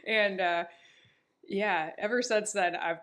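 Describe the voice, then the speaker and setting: A woman's voice: medium pitch, steady tone, slow, fairly bright tone. A woman in her thirties hosting a podcast, talking in a chatty, upbeat way and telling stories. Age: 30s. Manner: chatty and upbeat, tells stories with quoted asides. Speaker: podcast host. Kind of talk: casual chat.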